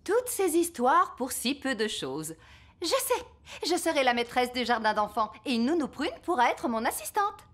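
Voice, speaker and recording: A woman's voice: sits high, big pitch swings, normal speed. A woman playing a self-assured fairy queen and mother in a children's cartoon, grand and breezily confident. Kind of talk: animated children's cartoon acting. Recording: music bed behind the voice.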